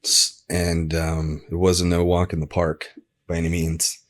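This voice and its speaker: A low-voiced American man speaks as a guest on a podcast. He is from Arizona and tells personal stories in a candid, informal style. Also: completely flat delivery, a little slow. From Arizona, United States. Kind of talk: casual personal storytelling.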